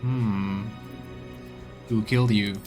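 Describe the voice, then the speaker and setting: Man, game streamer, let's play commentary, medium-low pitch, reading and remarking, game music behind. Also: fairly even intonation, slow.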